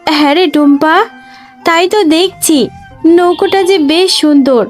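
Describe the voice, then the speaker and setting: Lady doing a caring mama fox for a kids cartoon, high voice, soft music behind. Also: normal speed, close to an everyday voice. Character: gentle caring mother fox, talking to her young child. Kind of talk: children's fairy-tale cartoon dubbing.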